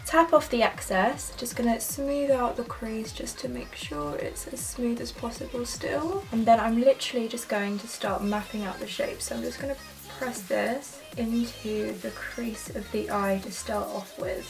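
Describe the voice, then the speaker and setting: A woman's voice: on the high side, moderate pace, over music. A young woman talks her viewers through a makeup look in a chatty, upbeat way, her speech peppered with "like" and "so". She sits a little back from the camera mic, so her voice sounds slightly distant. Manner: chatty and enthusiastic, lots of "like" and "so". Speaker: beauty vlogger. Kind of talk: casual makeup tutorial narration.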